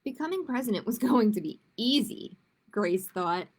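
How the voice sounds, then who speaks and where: A woman's voice: a little high, expressive, moderate pace. A woman hosting a children's story time, chatty and emphatic, repeating phrases as she talks and reads aloud. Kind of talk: casual chat with viewers and read-aloud storytelling.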